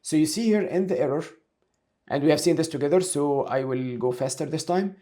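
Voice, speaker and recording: Mellow-voiced male software instructor. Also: on the high side, a little fast. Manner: instructional, talks through each step aloud. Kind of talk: screen-share tutorial, explaining step by step.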